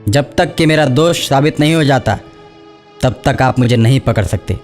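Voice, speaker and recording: A man's voice: mid-range voice, normal speed, a little mellow, faint music behind, lightly acted. A man playing a firm, level-headed village headman who reasons and protests, in a cartoon horror story. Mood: indignant, firm. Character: assertive, level-headed male village headman, indignant at injustice. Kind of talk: Hindi animated horror story acting.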